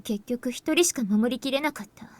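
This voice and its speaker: A serious teenage girl from a visual novel voiced by a woman. Her voice is high and she reports things in a composed, factual manner with grave restraint. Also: quick pace, close to an everyday voice. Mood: somber, factual. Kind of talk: Japanese visual novel voice acting.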